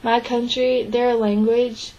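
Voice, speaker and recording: Woman interpreting in a flat, higher voice. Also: dull audio. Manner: even, measured delivery with frequent restarts. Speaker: sign language interpreter voicing a deaf man's answers. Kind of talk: spoken interpretation of a signed interview.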